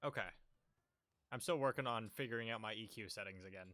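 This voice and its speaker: A man's voice: fairly low pitch, fast talker, a bit bright, no room sound. A man streaming a video game from home on a desk mic, chatting casually and rambling with fillers and little jokes. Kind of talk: casual live-stream chat. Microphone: desk microphone.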